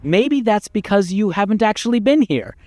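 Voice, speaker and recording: A man's voice: high voice, sing-song, normal speed, a little mellow, no echo. A man playing a condescending, exasperated narrator in a video game, articulate and dryly sardonic. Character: exasperated, pompous male narrator voice guiding the hero.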